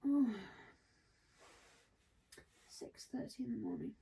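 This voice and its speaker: A woman vlogging at home early in the morning, talking softly and half-whispering in a croaky just-woken voice, rambling with fillers. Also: fairly high pitch, animated, slow, background noise. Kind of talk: casual morning vlog chat.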